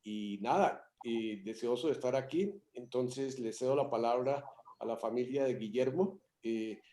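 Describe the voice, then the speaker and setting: A man's voice: mid-range voice, unhurried. A man in his sixties hosting a video call, introducing himself casually with a joke. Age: 60s. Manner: relaxed, joking. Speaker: meeting host. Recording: echoey room on a call.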